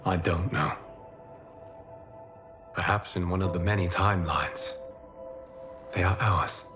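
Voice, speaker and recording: An actor playing a calm, godlike warrior monk who guards time, speaking in a measured, solemn way over a game score. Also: male voice, low-pitched, music in the background. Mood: tender. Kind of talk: video game cutscene acting. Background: dramatic game score.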